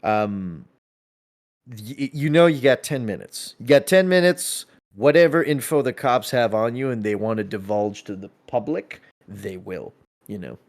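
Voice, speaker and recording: A man chatting casually and thinking out loud, halting and repeating words as he works through his ideas. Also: medium pitch.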